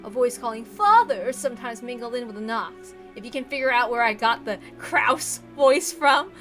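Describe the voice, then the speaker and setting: A woman with a high voice narrates a visual novel. Her reading is expressive and slips into casual asides, with faint music underneath. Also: normal speed.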